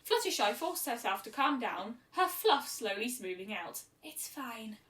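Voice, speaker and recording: A woman's voice: a little high, big pitch swings, moderate pace, fairly bright tone. A woman narrating a scripted fan fiction story as an amateur reader. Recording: roomy echo, distant microphone.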